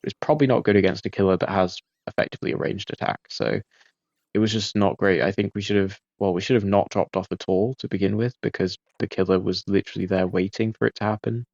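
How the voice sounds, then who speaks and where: A man giving relaxed post-game commentary over his gameplay, laid-back and self-critical, with frequent ums and uhs. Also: lowish voice, quick pace, no room sound, dull audio. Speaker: gaming video creator.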